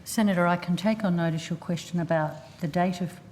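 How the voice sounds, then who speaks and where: A woman, a senior public servant, giving formal testimony in a measured, guarded and hesitant manner. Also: lowish voice, steady tone, darkish tone, a little hiss or hum.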